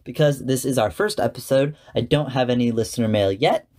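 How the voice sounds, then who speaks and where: A man speaks as a podcast host at a middle pitch. His delivery is scripted commentary, measured and articulate, addressed straight to the listener. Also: a little mellow.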